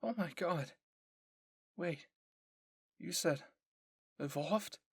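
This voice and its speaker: A man voicing an excitable, nosy female military researcher in an anime fan story, gushing and emphatic. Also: a little high, very slow, husky, no room sound, pitched above the performer's own voice, heavily acted. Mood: eager curiosity.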